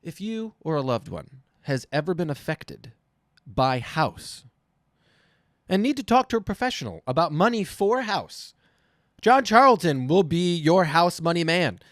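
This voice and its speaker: A man's voice: on the high side, lively intonation, measured pace, no echo, studio quality. A man hosting a live show, presenting sponsor spots and introductions in a tongue-in-cheek way. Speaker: show host reading sponsor spot and introducing acts.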